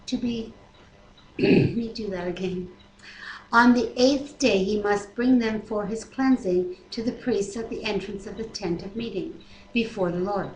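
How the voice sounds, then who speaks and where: A woman reads scripture aloud at an unpolished, everyday level, sometimes correcting herself or commenting. Her voice sits at a middling pitch. The webcam sound is echoey, with faint noise behind it. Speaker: Bible reader and commenter. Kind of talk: reading scripture aloud with casual asides. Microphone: webcam microphone. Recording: echoey room, noisy webcam audio.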